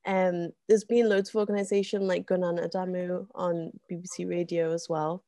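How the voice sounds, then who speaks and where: A woman, a guest on a casual podcast, talking with many ums and likes and getting animated in bursts. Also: lowish voice, normal speed, no room sound, studio quality. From North West England. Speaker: interview guest, zine co-founder.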